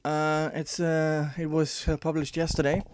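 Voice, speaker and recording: A man's voice: fairly high pitch, completely flat delivery, a little slow, smooth, dry recording. A man whose first language is not English, giving casual commentary and reading an article aloud, with many fillers and restarts and dry sarcastic asides.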